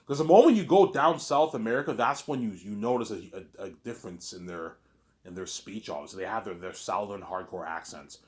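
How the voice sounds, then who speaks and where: A man's voice: fairly low pitch, a little fast, darkish tone. A man with a slight Canadian accent talking in a rambling casual monologue, often restarting and tagging on "obviously". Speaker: vlogger.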